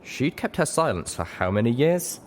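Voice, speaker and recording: A man's voice: medium pitch, very animated, normal speed, a little hiss or hum. A man reading first-person fiction aloud as scripted audiobook narration. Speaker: audiobook reader.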